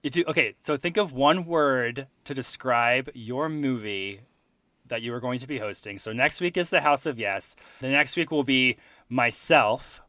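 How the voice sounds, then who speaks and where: A man's voice: mid-range voice, normal speed, pushed and bright, no room sound. A man co-hosting a podcast in casual chat, chatty with frequent restarts. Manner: chatty, laughing, trailing restarts. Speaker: podcast co-host.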